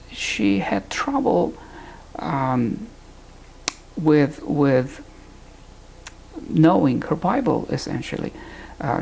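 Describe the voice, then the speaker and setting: A man from Romania, giving an earnest, deliberate recorded teaching talk on the Bible and pausing to find his words. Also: medium pitch, gravelly, darkish tone, slight background noise, rough recording.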